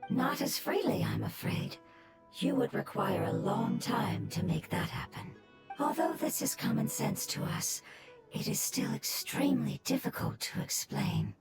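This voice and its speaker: A serene, wise old spirit of the forest from a video game. The voice is fairly high, soft and airy, with melodic movement in its formal, gentle explanations. Loud music runs underneath. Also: female voice, lively intonation, a little slow. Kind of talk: video game character acting.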